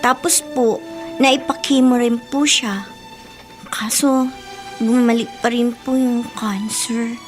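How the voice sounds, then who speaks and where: Shy young housemaid, higher voice. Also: female voice, fairly even intonation, slow pace, pauses often. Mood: shy, polite. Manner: deferential, hesitant. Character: shy, polite young provincial housemaid hoping to study. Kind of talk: Filipino radio drama acting. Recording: music bed behind radio drama dialogue.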